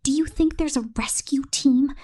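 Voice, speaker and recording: A woman voicing a cruel, possessive killer robot captor in a menacing, taunting ASMR roleplay, mostly in a breathy whisper. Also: high voice, mellow, natural voice. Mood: gloating menace. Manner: menacing, taunting, slow and deliberate.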